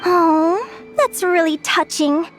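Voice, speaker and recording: A woman playing a cheerful, curious young bird fae girl adventurer in a video game, earnest, dreamy and expressive. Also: extremely high pitch, slow. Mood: touched, musing. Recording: game music under the voice. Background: game music.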